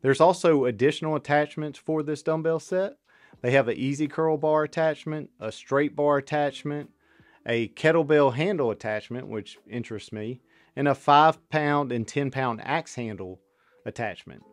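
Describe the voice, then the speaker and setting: A man's voice: fairly high pitch, normal speed, no room sound. Dry, matter-of-fact man reviewing gear. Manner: steady, matter-of-fact delivery. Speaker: fitness channel host. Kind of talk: scripted product review voice-over.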